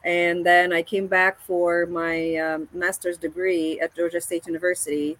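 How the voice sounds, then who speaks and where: A woman, a paramotor pilot speaking as an interview guest, hesitant with many pauses and restarts. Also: on the low side, flat delivery, a bit bright, no room sound. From Egypt. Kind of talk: casual video-call interview.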